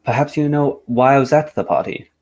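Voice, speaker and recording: A man plays a gentleman detective in a radio drama. He has a mid-range male voice and speaks with polite formality. His delivery is composed and measured, as if laying out a case. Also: medium pitch, lightly acted.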